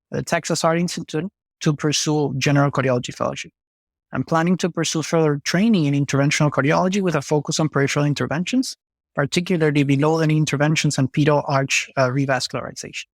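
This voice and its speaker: A man with a slightly high voice works through clinical details in order, one item at a time. His voice is close and dry, with no room sound around it. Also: dry recording. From Venezuela. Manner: methodical, listing clinical details. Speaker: cardiology fellow, guest. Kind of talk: case presentation on a podcast.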